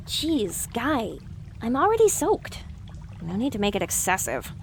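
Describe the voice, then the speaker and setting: A jittery young female pony , played by a woman, speaks in a high voice that swings widely. She is anxious and wry in an audio drama, with water faintly sounding behind her. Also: huge pitch swings, measured pace, slight background noise, heavily acted. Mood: exasperated.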